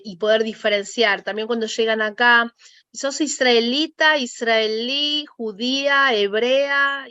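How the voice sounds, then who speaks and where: Argentine woman, forties, higher voice, dry lo-fi call sound. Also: sharp tone, no room sound. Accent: Argentine Spanish. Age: 40s. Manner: patient, explains concepts step by step. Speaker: interview guest. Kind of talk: explanatory interview talk.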